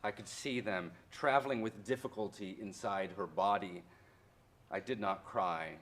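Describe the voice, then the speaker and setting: An actor in a staged reading, playing a wounded history professor who relives his childhood loss in reflective, deliberate storytelling. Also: lowish voice, fairly bright tone. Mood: sorrowful, reflective. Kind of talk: dramatic monologue in a staged reading.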